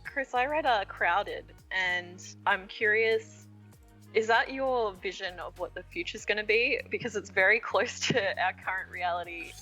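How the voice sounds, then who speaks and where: A woman's voice: medium pitch, measured pace, pushed and bright, soft background music, rough recording. A woman interviewing a guest in a casual, conversational style. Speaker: interviewer on a comics show.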